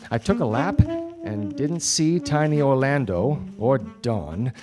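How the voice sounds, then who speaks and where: Wry, animated 1940s gumshoe. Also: male voice, on the high side. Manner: deadpan, wry. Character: wry 1940s hardboiled male private detective, dryly exasperated. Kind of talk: 1940s radio detective drama, noir narration. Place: theatre stage before a studio audience. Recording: live radio play with music behind.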